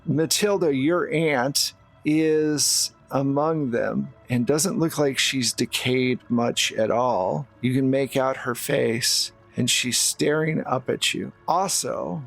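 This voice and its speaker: A man's voice: medium pitch, slow pace, creaky. A man narrating a horror tabletop roleplaying game as the game master. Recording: music behind the voice.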